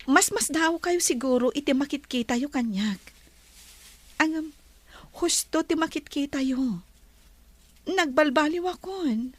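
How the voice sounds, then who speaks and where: A woman with an Ilocano Filipino accent playing a gentle, wealthy bride-to-be in a radio drama. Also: high voice, unhurried, long pauses, dry recording. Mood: apologetic.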